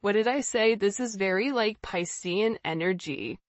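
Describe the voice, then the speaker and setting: A woman's voice: mid-range voice, moderate pace, no room sound. Woman tarot reader, dry muffled recording. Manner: conversational, often checking in with "right?" and "you know". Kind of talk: informal spiritual tarot reading, speaking directly to the viewer.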